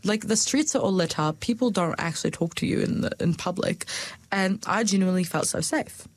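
A young woman from Palestine chatting casually as an interview guest, full of "like" fillers and sudden jumps in pitch. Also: a little fast. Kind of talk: casual chat.

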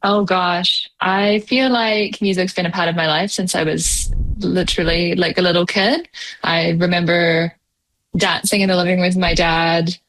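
A woman's voice: on the low side, fairly even intonation, normal speed, a bit bright, low-quality recording. A young woman musician from New Zealand with a mixed New Zealand and Canadian accent, chatting casually in an interview with lots of fillers and laughs. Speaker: musician guest.

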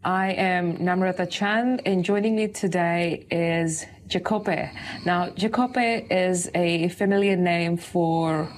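Low-voiced woman hosting a radio show. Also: steady tone, takes it slowly, a little hiss or hum. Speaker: radio host. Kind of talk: community radio interview.

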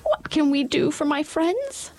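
Woman doing a squeaky little mouse queen. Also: very animated, a little slow, darkish tone. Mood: kindly helpful. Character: tiny squeaky queen of the field mice.

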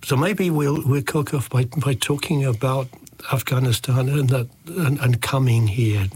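A man hosting a podcast, his voice husky yet deep and comforting. He asks long, winding questions in a measured, thoughtful way. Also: a little mellow. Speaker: podcast host. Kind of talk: interviewing a guest.

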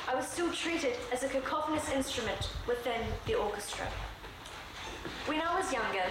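A young woman from Sri Lanka raised in New Zealand, delivering a prepared, earnest speech at a measured pace. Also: high-pitched. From born in Sri Lanka, raised in New Zealand. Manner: measured, earnest delivery with slowed emphatic phrases. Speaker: student speaker. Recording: very echoey room with background noise.

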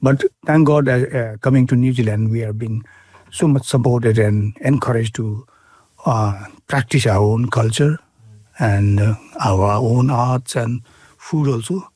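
Bhutanese man, halting and full of fillers. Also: medium pitch, darkish tone, breathy edge, dry recording. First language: Nepali. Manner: halting, many fillers and restarts. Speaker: interviewee. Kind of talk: casual interview.

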